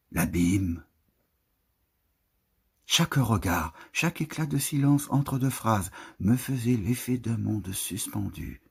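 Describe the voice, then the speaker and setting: A man with a husky, breathy voice reads poetry in a solemn, lyrical manner, lingering over the phrases of a literary text. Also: on the low side, a little mellow. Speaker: poetry reader. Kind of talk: literary poetry recitation, scripted narration.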